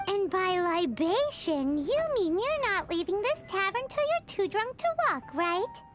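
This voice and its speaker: A woman voicing a tiny, cheeky, excitable fairy girl in a video game, with a squeaky childish voice and exaggerated delivery. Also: measured pace, soft background music, heavily acted. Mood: indignant, sassy. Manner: speaks of herself in third person, indignant, exaggerated. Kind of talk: video game cartoon acting.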